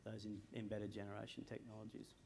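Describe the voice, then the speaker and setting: A man speaks on an industry panel in an even, level tone with a gravelly edge. His voice is dark and mellow, and faint noise sits behind him. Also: on the low side, quick pace. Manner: steady, explanatory. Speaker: panelist, energy industry adviser. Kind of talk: panel answer. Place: conference room.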